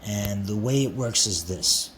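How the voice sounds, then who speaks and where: A low-pitched male voice with clear background noise around it. He hosts a casual repair tutorial indoors, speaking informally and often repeating phrases as he explains each step. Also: unhurried, a bit bright. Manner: informal, repeats himself, talks viewer through steps. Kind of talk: casual hands-on how-to narration. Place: indoors at a workbench.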